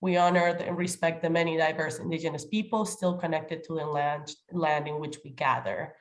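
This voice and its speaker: A woman's voice: low-pitched, moderate pace, rough recording. A woman chairing an online committee meeting in a formal, welcoming role, slightly nasal as she gets over a cold. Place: remote video call.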